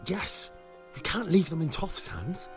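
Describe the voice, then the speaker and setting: An actor playing a stubborn, earnest young hero in a medieval video game, speaking bluntly and with determination. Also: male voice, a little high, very animated, a little slow, over music. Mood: determined. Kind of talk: dramatic video game cutscene acting.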